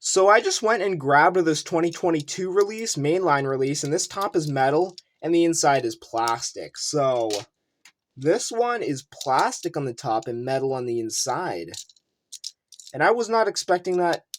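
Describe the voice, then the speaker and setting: A video host giving casual unboxing commentary, rambling and thinking aloud while showing collectibles. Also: medium pitch. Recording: noisy room with clicking handling sounds.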